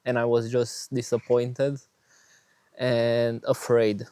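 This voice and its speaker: Slow, flat-voiced man with a Romanian accent. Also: mid-range voice, smooth, no echo. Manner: hesitant, many fillers and restarts. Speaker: vlogger. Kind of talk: personal testimony vlog. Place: outdoors on a windy day.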